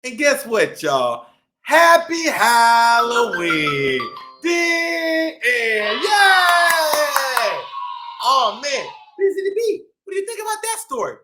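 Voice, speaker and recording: Very animated man hosting kids' storytime. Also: extremely high pitch, takes it slowly, loud music behind the voice. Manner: exclamatory, playful, sing-song. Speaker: children's storytime host. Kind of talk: animated read-aloud for young children.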